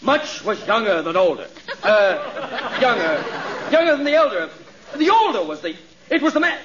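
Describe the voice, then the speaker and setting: A flustered, self-important radio comedy mayor. He sputters and stammers in a high, swooping voice. An audience is heard on the muffled 1940s recording. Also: big pitch swings, moderate pace, a crowd in the background, background noise. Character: flustered, easily confused pompous male mayor.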